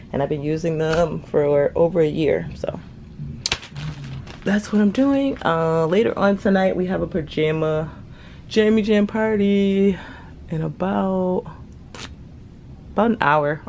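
A woman's voice: sits low, creaky, a little mellow, a little hiss or hum. A woman in her thirties talking casually to a handheld camera on a cruise ship, chatty with self-mocking asides. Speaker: vlogger. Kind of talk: casual vlog talk to camera. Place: cruise ship cabin and hallway. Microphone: handheld camera at arm's length.

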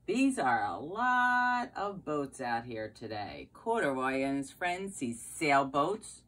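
A middle-aged woman with a low voice reads a children's picture book aloud. Her pace is unhurried and her intonation very animated, with exclamations and questions aimed at small listeners. She sounds like a preschool teacher, recorded on a phone at home. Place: carpeted basement room at home, indoors.

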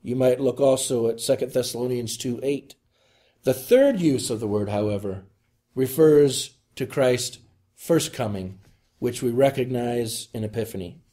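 A man delivering a scripted homily as a preacher, in solemn, deliberate phrases. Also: medium pitch, dark tone.

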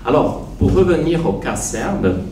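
A man in his forties, not a native French speaker, giving an academic lecture at a conference, pausing often with fillers as he speaks into a handheld microphone. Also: a little high, very animated, noisy background. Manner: careful, hesitant with frequent pauses and fillers. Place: conference hall, seated at a panel table. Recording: echoey hall, slightly distant.

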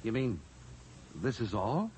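An actor playing a plain-spoken, eager scientist sidekick, brisk and informal, in a 1950s radio drama. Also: male voice, mid-range voice, big pitch swings, darkish tone. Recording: slightly noisy old radio recording.

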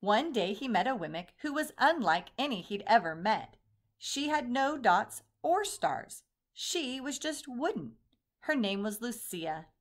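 Expressive woman reading a picture book. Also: on the high side, measured pace. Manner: expressive, storytelling. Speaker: storybook reader. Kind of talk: picture book read-aloud.

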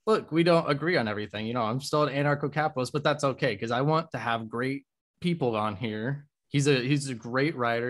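A man in his twenties chatting casually as the host of a podcast interview over a video call. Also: medium pitch. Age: 20s. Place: room at home, video call.

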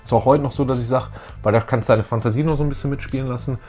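A man's voice: medium pitch, fairly even intonation, racing, over loud music. A middle-aged man co-hosting a fan show, chatting casually with emphatic enthusiasm. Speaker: co-host of a retro fan show. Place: small indoor room with collectibles.

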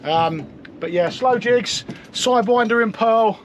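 A man's voice: extremely high pitch, measured pace. A man, coaching his family and chatting to camera while fishing from a small boat at sea. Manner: encouraging, coaching, excitable. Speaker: fishing boat skipper and vlogger. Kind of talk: casual on-boat coaching and commentary. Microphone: action camera on the boat. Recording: loud wind and engine noise on deck.

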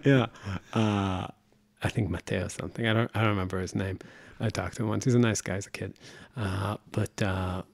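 A man's voice: fairly low pitch, fairly even intonation, moderate pace, vocal fry, no room sound, studio quality. A man co-hosting a music podcast in casual chat, rambling and opinionated with crude language.

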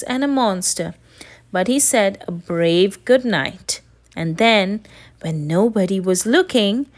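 A woman narrating a children's story aloud in an expressive way for young listeners. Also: mid-range voice.

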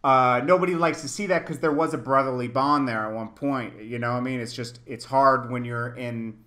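A man's voice: mid-range voice, fairly even intonation, brisk, fairly bright tone. A man hosting a casual, slangy hip-hop interview over a video call, talkative and emphatic, often repeating phrases. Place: indoor room, on a video call.

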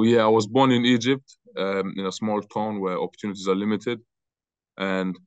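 A young man from Austria answers questions over a video call, his voice sitting on the low side. He talks in a loose, halting way, doubling back on his words. The audio is dull and dry, with the narrow sound of a call. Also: no room sound. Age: young adult. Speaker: interview guest, football player. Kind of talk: casual interview answers. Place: bright room indoors.

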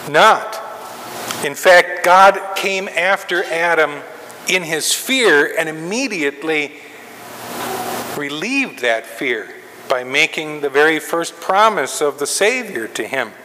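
A middle-aged male pastor delivers a sermon at a pulpit, slow and emphatic, leaving long pauses between phrases. His voice sits high and bright. It carries through a large church hall with a long reverb and a faint hum of room noise. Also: lively intonation. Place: large wood-paneled church sanctuary, at a pulpit. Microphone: far camera, pulpit mic.

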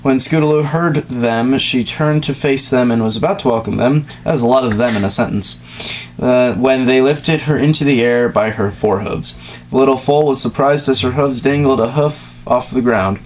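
A man's voice: medium pitch, normal speed. A young man doing an informal dramatic reading of a story, stumbling over words and breaking off for casual asides. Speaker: reader. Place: small dim room indoors, on a couch. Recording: noisy home recording with hum.

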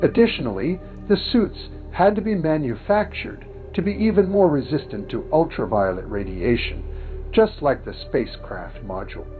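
Tinny, lively male documentary narrator. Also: fairly high pitch. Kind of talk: formal scripted documentary narration. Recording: tinny phone-like sound over music. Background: background music.

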